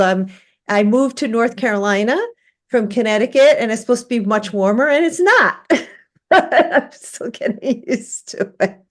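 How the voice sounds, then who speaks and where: A woman teaching an online class, giving a relaxed orientation with many fillers and restarts. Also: on the high side. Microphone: video call.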